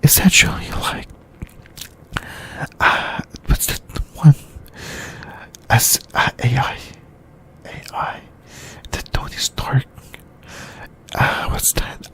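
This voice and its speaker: A host whispering an ASMR discussion in a breathy, unvoiced voice, speaking in halting short phrases and repeating words. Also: mid-range voice, fairly even intonation, a bit bright. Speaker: host of an anime discussion channel.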